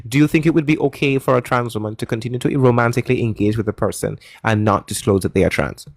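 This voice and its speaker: A man hosting a live call-in debate stream, pressing callers with insistent questions and joking loudly with his chat. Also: medium pitch, fast, vocal fry, dry recording, studio quality. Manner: lively, shouts and giggles at chat.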